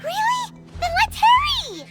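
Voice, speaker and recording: A woman voicing a tiny, excitable, childlike companion creature in an anime-style game, naive and chatty, with a squeaky voice. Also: a little slow, loud music behind the voice, exaggerated voice. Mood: excited.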